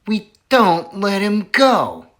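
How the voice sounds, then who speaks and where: Storybook villain farmer, grown man, cruel and bullying, taunting in a high shout, put on by a male reader. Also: sing-song, takes it slowly, heavily acted. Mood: menacing.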